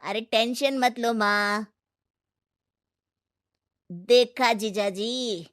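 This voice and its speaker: Lady doing cocky phone-addict young guy for a kids story, high voice, slow-ish, breezy n whiny. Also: fairly bright tone. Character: cocky, phone-obsessed twenty-year-old man, whiny under stress. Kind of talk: children's moral story character acting.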